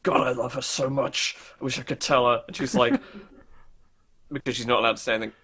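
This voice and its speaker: A man's voice: mid-range voice, steady tone, brisk, fairly bright tone, husky. A man co-hosting a casual, unscripted gaming podcast, informal and jokey, with loose language. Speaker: co-host presenting video game news.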